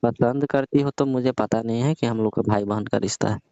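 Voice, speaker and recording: A man's voice: medium pitch, steady tone, a little fast, dark tone, clean tone, no echo, natural voice. A man playing a young cousin on a casual phone call, questioning in an insistent, teasing way. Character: young male cousin, probing, teasing, half-reluctant. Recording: dull lo-fi call-style audio.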